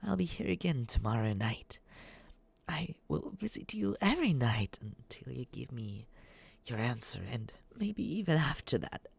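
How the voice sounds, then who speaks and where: A man playing a lovesick, obsessive young yandere stalker in an ASMR roleplay, awkwardly sweet and breathy, with halting, nervous delivery and soft asides. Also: mid-range voice, sing-song, no echo, heavily acted. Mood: tender, creepy.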